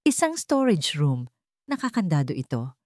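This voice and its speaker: A Filipino woman reads a scripted story with drama. Her voice sits a little low but moves widely and expressively in pitch. The recording is dry, with hardly any room sound. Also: very animated, normal speed, a little mellow, no echo. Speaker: story narrator.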